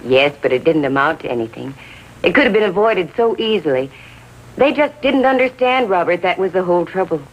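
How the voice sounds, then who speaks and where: A woman's voice: on the low side, animated, brisk, muffled recording. A woman playing a middle-aged American mother in a 1950s TV drama, genteel, earnest and insistently defensive. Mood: defensive, trusting. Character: defensive, trusting, genteel mother of a teenage boy.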